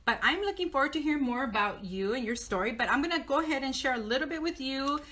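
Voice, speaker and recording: Female live-show host, casual and encouraging, sharp bright tone, higher register. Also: lively intonation, fast talker. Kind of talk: casual live interview, reading out a guest bio.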